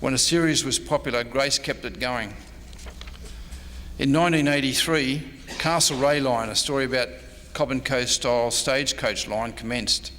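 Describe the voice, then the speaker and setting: A man's voice: mid-range voice, moderate pace, sharp tone. A man giving an informal history lecture, reading from notes with casual asides. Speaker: presenter, radio historian. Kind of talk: slide show lecture. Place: convention presentation room. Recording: echoey hall, faint background noise.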